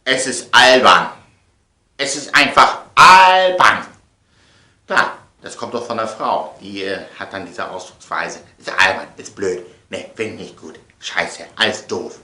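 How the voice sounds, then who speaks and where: A man's voice: fairly low pitch, a little slow, sharp tone. A middle-aged man giving a casual, rambling monologue to viewers, emphatic and theatrical, often repeating his phrases. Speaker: vlogger and audio play producer. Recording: echoey room.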